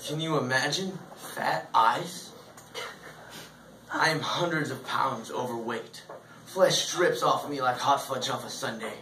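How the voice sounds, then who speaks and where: A man's voice: medium pitch, close to an everyday voice. A man performing a comic stage monologue as a hugely obese, grandiose philosopher, speaking in a theatrical, mock-solemn way. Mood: grave, confessional. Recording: roomy, distant mic, faint noise.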